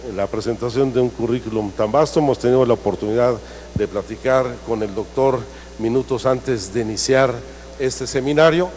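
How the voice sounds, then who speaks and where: A man delivers a formal introduction, pausing on fillers and fixing his slips as he goes. His voice is mellow and low in brightness. The sound carries a long hall reverb over faint background noise. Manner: formal, with frequent hesitations and self-corrections. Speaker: seminar moderator. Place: large hall in the Senate.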